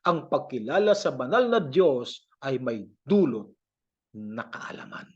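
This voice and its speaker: Male Filipino audio Bible reader. Slow, measured verse recitation with animated pitch; slightly muffled lo-fi sound. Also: breathy edge. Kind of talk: scripted scripture reading.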